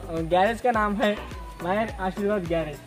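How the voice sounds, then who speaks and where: A man's voice: sits high, moderate pace, over loud music. A young man, presenting a vlog to camera. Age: young adult. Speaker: vlogger, host. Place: outdoors at a roadside garage.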